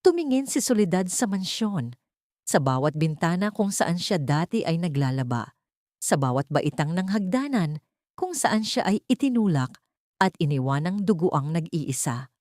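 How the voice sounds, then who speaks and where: Female narrator, Filipino accent, for dramatic audio fiction; lowish register, very animated delivery. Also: moderate pace, no echo, studio quality. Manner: theatrical, expressive.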